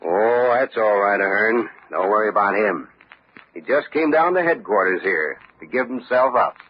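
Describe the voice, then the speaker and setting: An actor playing a shrewd, unflappable police lieutenant in a radio detective drama, speaking methodically and with confidence. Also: male voice, on the low side, lively intonation, normal speed, background noise, close to an everyday voice. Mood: calm, satisfied. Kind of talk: old-time radio detective drama acting. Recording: dull vintage radio recording.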